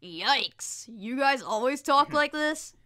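A woman's voice: high-pitched, lively intonation, slow, sharp tone, heavily acted. A woman voicing a brash, cocky young boy in a cartoon comic dub, with music behind. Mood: dry. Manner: cocky, blunt. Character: scrappy, brash young boy, tough talker.

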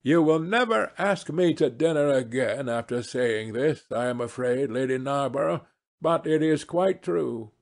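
A man's voice: mid-range voice, lively intonation, measured pace, gravelly. A man voicing a witty, cynical English aristocrat in a novel reading, languid and self-amused, speaking in epigrams. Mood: playful, provocative. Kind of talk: dramatised classic novel reading.

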